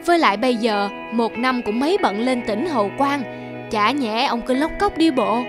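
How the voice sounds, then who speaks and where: High-voiced coaxing horse seller played by a woman. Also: lively intonation, normal speed, music in the background, lightly acted. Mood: persuasive. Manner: boastful, coaxing. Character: persuasive, smooth-talking male horse seller. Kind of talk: animated folk tale acting.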